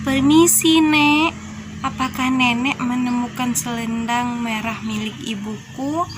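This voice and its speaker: A woman playing a tearful, gentle young girl. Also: a little high, steady tone, measured pace, noisy background, lightly acted. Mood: polite. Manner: tearful, pleading.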